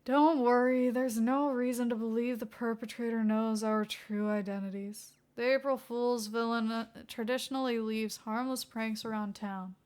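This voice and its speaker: A woman's voice: on the high side, steady tone, normal speed, hardly any pauses, a little mellow, lightly acted. A woman playing a grandiose, scheming villain boss. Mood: calculating. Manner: formal, grandiose. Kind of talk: acted fan fiction dialogue.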